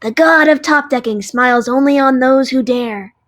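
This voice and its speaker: Eine Frau spricht einen hohen, lässigen Kartenspieler-Drachen. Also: female voice, sits high, normal speed, lightly acted. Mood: solemn. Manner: easygoing, eager card-game enthusiast. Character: friendly card-playing dragon, casual and eager. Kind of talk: fan audio drama acting.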